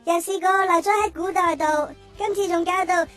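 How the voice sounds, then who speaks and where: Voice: very high-pitched, fairly even intonation, normal speed, a bit bright, soft background music. A performer voicing an eager, fretful young child adventurer in a children's audio drama, dramatic and exclamatory. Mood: panicked.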